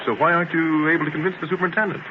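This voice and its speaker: An actor playing an eager, folksy, persuasive inventor in a 1930s radio drama. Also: male voice, a little high, little pitch movement, rapid-fire, natural voice. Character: eager, folksy, persuasive male inventor pitching his creation. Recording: muffled, noisy 1930s radio transcription.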